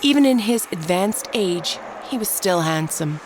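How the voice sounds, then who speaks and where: A woman playing a ruthless, unrepentant ageless murderess, narrating her tale in a measured, dramatic audio drama style. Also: mid-range voice, animated, noisy background, close to an everyday voice. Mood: cold, gloating. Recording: narration over prominent background music. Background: music.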